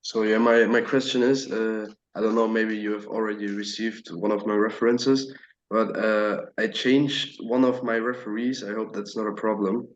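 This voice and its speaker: A man on a video call asks a question hesitantly, filling pauses as he goes. His voice is fairly low. The audio is dull and muffled. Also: little pitch movement. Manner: hesitant, with fillers. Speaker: participant.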